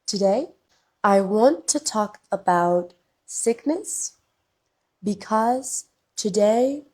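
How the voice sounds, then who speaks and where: A woman hosting a slow English podcast, speaking in short, deliberate phrases with long pauses, a little congested and coughing from a cold. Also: mid-range voice, animated, a little mellow. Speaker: podcast host and English teacher.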